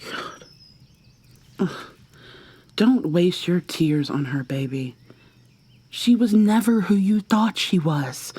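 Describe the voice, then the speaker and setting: A woman acting an obsessive, controlling yandere lover in an ASMR roleplay, with a hushed, breathy, condescending drawl. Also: medium pitch.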